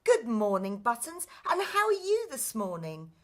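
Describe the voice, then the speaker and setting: A woman's voice: on the high side, huge pitch swings, measured pace. A woman playing a cheerful, kindly young servant girl in a pantomime, polite and plucky. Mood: cheerful, wistful. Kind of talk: stage pantomime acting.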